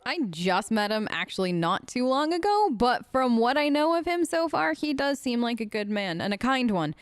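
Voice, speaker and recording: A woman's voice: on the high side, sing-song, normal speed, clean tone, dry recording. A woman hosting a gaming video, casually role-playing her character's side of the dialogue in a chatty, conversational way.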